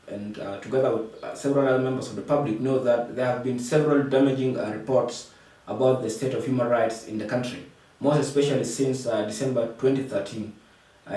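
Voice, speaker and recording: A man with a South Sudanese accent hosts a formal interview in a very echoey room, far from the microphone. He speaks in a measured, halting manner at a medium pitch. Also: dark tone. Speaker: host and producer.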